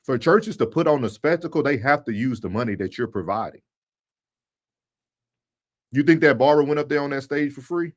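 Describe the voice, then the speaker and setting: A man with a mid-range voice co-hosts a livestream. He talks casually and in an animated way, speaking straight to his viewers as if making a case to them. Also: mid-range voice, moderate pace, pauses often. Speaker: livestream co-host. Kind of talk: casual talk commentary on church.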